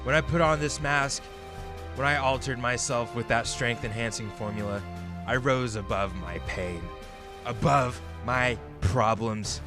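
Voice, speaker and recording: A man playing an unhinged, vengeful young supervillain in an audio drama, menacing and grandiose in his theatrical taunts. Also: mid-range voice. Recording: loud music bed behind voice.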